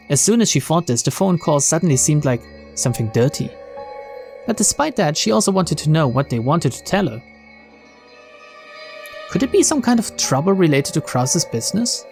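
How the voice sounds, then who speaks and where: A man reading a visual novel aloud as narrator, in an expressive, dramatic storytelling style. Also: fairly high pitch. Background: faint game music.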